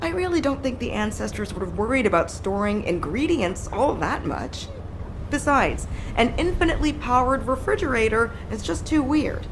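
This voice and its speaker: An actress playing a sensible, caring colleague in a video game who gently cautions and scolds. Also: female voice, fairly high pitch, sing-song, moderate pace, noisy background. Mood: concerned, chiding. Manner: cautioning, matter-of-fact.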